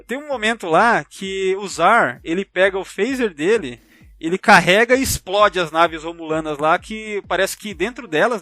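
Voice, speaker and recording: A man's voice: high-pitched, bright, forceful tone. A man with a Brazilian Portuguese accent in a casual podcast discussion, rambling chattily and adding frequent tag questions. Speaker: podcast panelist.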